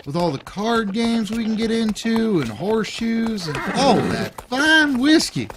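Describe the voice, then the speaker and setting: A cheeky, excitable young brother in an outlaw gang from a western audio drama, acted by a man. His folksy voice is very high and lively, with noise behind it. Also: a little slow, exaggerated voice. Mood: gleeful. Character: mischievous, whiskey-loving younger outlaw brother in the Old West.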